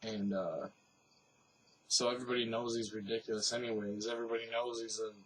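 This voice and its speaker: A man's voice: on the low side, little pitch movement, a little fast, fairly bright tone, far from the mic, slight background noise, poor audio. A man giving off-the-cuff news commentary, rambling and thinking aloud. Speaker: commentator and host.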